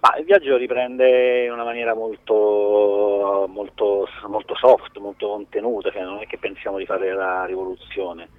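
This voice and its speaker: A man speaks as a guest in a radio interview, his voice fairly low and heard over a dull, lo-fi line. He talks conversationally and haltingly, doubling words and letting sentences trail away. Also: flat delivery, dry recording. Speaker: film producer, interview guest.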